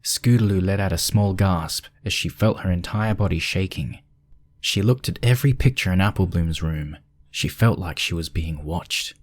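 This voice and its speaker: A man's voice: sits low, normal speed. A man reading scripted story narration as the narrator.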